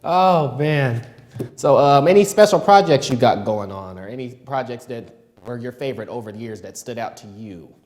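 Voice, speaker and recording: A man's voice: mid-range voice, moderate pace. A man hosting a casual talk show, asking easygoing questions and agreeing along. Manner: relaxed, agreeable interviewer.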